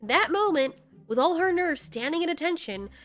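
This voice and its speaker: A woman's voice: very high voice, lively intonation, moderate pace, fairly bright tone, faint music behind. A woman storyteller giving dramatic scripted narration in an informal, candid way.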